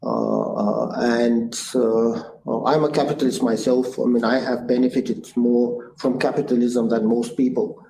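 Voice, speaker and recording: A man's voice: medium pitch, a little slow, vocal fry, hardly any pauses, over music. A man in his sixties from Bulgaria with a Bulgarian accent, a guest entrepreneur answering interview questions in an earnest, thoughtful way, with many "uh" fillers and restarts. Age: 60s. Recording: echoey room with faint noise.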